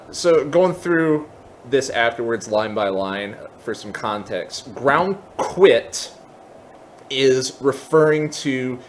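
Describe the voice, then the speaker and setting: A man hosting a casual software tutorial, explaining things step by step in an informal way. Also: mid-range voice, a little hiss or hum. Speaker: tutorial host.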